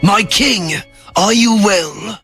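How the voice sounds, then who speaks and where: A scheming royal henchman speaks in a fairly high, cutting voice. He is a servile bully from a dark fantasy audio drama, with faint music behind him. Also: slow pace, heavily acted. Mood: fawning. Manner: sharp, obsequious to king, contemptuous to underlings.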